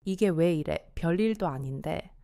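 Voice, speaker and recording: A woman's voice: fairly low pitch, expressive, moderate pace, dark tone, no room sound, clean studio sound. Woman voicing a hesitant, lonely older wife. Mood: shy, flustered.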